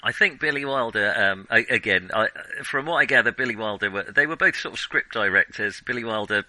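A man's voice: fairly low pitch, brisk, sharp tone, no room sound. A man co-hosting a film podcast in casual chat, who laughs at his own slips. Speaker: podcast co-host.

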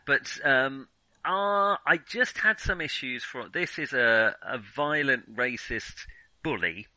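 Guy on a film podcast, really bright sharp voice, dry sound, kinda hesitant, keeps rewording himself. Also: mid-range voice, expressive, slow pace, no echo, rough recording. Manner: hesitant, hedging, often rephrasing mid-thought. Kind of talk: casual film discussion.

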